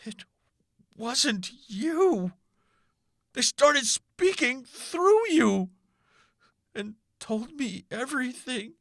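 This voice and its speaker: A woman voicing a grief-stricken, gentle father in an audiobook, speaking in a hushed, tearful, halting way. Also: high-pitched, big pitch swings, no room sound, voice pushed up, heavily acted. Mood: sorrowful confession. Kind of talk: audiobook character acting.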